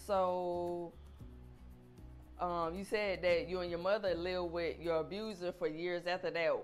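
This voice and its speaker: A woman's voice: on the low side, fairly even intonation, measured pace, faint music behind. A woman hosting an interview, asking brief, plain questions and giving short acknowledgements. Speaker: podcast host, interviewer.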